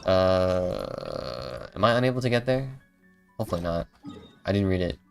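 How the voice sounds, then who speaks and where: Streamer chatting over a game, lots of vocal fry, hesitant rambly asides, music in back. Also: low-pitched, very animated, normal speed. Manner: hesitant, meandering, mumbled asides. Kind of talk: casual livestream commentary.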